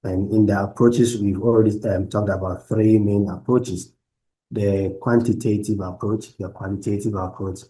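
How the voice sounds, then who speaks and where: A man lecturing online from his laptop, explaining research methods in a halting way with many restarts. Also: lowish voice, mellow, rough recording. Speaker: university lecturer. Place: at a laptop on a video call. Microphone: laptop microphone.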